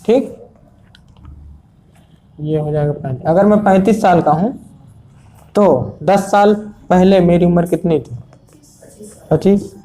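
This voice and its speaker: Male exam coach, warm low-key tone, repetitive board-style explaining. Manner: repetitive, checks understanding often. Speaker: math teacher. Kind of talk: exam prep lesson at a board.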